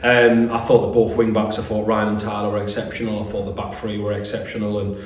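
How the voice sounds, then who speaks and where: A man's voice: lowish voice, completely flat delivery, fast. A British man in his thirties, a football manager giving a post-match interview, repeating words as he finds his phrasing. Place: small bare-walled room indoors. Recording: echoey room, distant mic, faint noise.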